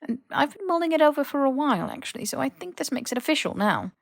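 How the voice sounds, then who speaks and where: A woman's voice: sits high, big pitch swings, fast, gravelly, no echo, studio quality, voice pushed up, full character voice. A woman voicing a stubborn, teasing young male wizard in his twenties, casual and cheeky, for an audiobook. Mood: playful, insistent. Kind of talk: audiobook character voice.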